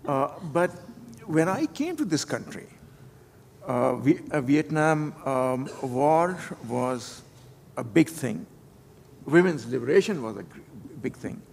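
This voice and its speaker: An older man from Pakistan, reflecting on his life at a conference panel, halting and full of repeated words and restarts. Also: mid-range voice, very animated, gravelly, a little mellow. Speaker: panel speaker. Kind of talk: unscripted personal reflection at a panel. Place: conference hall. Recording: long hall reverb with faint noise.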